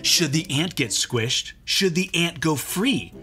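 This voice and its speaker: A man's voice: on the high side, animated, slow, bright, forceful tone, faint music behind. A man in his thirties, a children's read-aloud host, doing comic banter as the exasperated straight man. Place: small indoor studio set.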